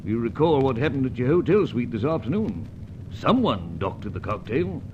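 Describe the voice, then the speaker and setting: An actor playing an elderly, genteel British knight in a radio adventure serial, droll, fussy and given to hesitant musing. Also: male voice, very animated, normal speed, close to an everyday voice. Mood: suspicious, musing. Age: older. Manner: droll, ruminative, hesitant, old-fashioned. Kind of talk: old-time radio adventure serial acting. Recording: dull, noisy, rumbling lo-fi audio.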